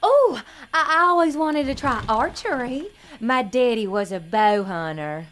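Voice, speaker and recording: A naive young Southern pageant hopeful, played by a woman, with a fairly high voice and an unhurried drawn-out pace. She sounds sweet, polite and unsure of herself in a comic audio drama. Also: lively intonation, a bit bright, a little hiss or hum. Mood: eager, hesitant. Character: innocent, naive, insecure young female pageant contestant. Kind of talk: audio comedy drama acting.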